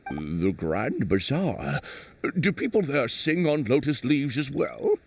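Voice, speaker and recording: Game frog bandleader, male, bossy and excitable, rough gravelly voice. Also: mid-range voice, slow pace, recorded from a distance, slight background noise, exaggerated voice. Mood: eager. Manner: animated, exclaiming.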